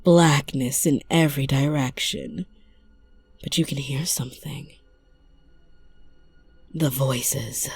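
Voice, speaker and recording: A sinister female tyrant, played by a woman, speaks in a low register with very drawn-out, deliberate phrasing. Her breathy delivery often drops toward a whisper, full of theatrical menace. It is an ASMR roleplay steeped in cavernous reverb. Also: darkish tone, faint music behind, exaggerated voice. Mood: sinister, taunting. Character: menacing female empire ruler who conjures victims' worst fears.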